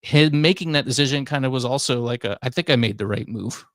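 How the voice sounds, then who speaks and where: A man with a medium-pitched voice talks as a radio co-host in casual conversation. The recording is dry and close, with little room sound. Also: quick pace, dry recording. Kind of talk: casual chat.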